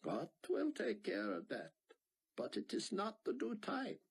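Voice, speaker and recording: A man's voice: sits high, wildly swooping pitch, unhurried, creaky, slightly rough, voice pushed up, exaggerated voice. A man doing an earnest older Israeli scholar. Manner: formal, earnest speech.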